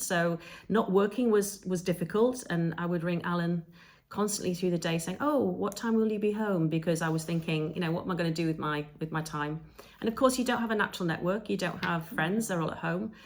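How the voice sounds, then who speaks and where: English woman in her fifties, co-hosting a podcast, low-pitched, easygoing storyteller. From England. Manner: chatty storytelling with frequent ums. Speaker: podcast co-host. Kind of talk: casual conversational podcast.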